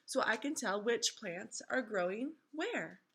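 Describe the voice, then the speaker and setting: A woman's voice: on the high side, lively intonation, a little slow, fairly bright tone, breathy edge, full character voice. A woman voicing a patient, assured mother explaining things reassuringly in a children's storybook. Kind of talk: children's storybook read-aloud.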